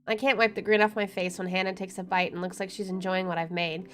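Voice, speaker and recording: A woman's voice: medium pitch, little pitch movement, quick pace, a bit bright, slight background noise. A woman doing a let's play, reading story text aloud and adding wry, chatty commentary. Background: game music.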